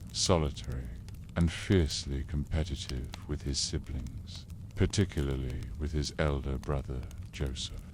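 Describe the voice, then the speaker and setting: A man narrating a scripted history story in a calm, soothing, unhurried way meant to help listeners fall asleep. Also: very deep voice, a little hiss or hum.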